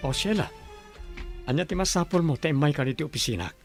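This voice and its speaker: A man's voice: fairly high pitch, lively intonation, fast, soft background music. Easygoing young office guy. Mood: wary, questioning. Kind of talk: Ilocano radio drama acting.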